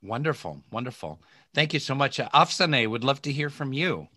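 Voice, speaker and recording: A man hosting a podcast over a video call, enthusiastic and halting, with many fillers. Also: mid-range voice, big pitch swings, bright, forceful tone, no room sound, studio quality. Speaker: podcast host. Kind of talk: casual video call chat.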